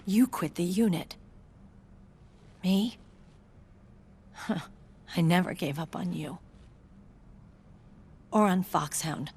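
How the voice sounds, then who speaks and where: An actress voicing a composed young woman military commander in a video game cutscene, measured, serious and articulate. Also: on the low side, a little mellow, slight background noise. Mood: restrained hurt. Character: composed military unit commander, grown woman, wounded pride. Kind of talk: dramatic video game cutscene acting, expository. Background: music behind the voice.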